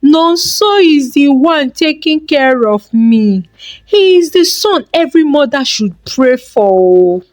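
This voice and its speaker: A woman's voice: sits high, animated, slow pace, darkish tone, voice pushed up. A woman voicing a proud, scornful older mother in a folktale, emphatic and disapproving. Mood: proud, boastful. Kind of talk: folktale character dialogue.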